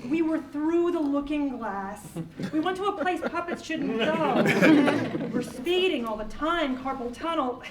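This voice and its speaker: A woman's voice: sits high, lively intonation, unhurried, crowd noise. A woman playing a dramatic, confessional mother in a comic sitcom script reading, recorded in an echoey room. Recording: echoey, distant mic, loud audience.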